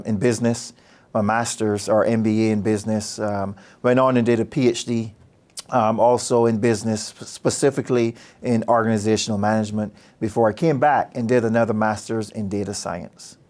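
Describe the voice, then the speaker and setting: A man from Barbados, a business school dean speaking about his program in a measured, earnest, persuasive way. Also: fairly low pitch, steady tone, darkish tone. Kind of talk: promotional interview talk.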